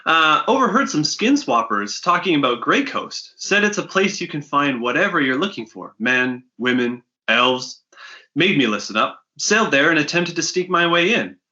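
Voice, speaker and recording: Man reading an earnest pirate newcomer, bright voice. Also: fairly high pitch, big pitch swings, normal speed, highs cut off, full character voice. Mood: grim.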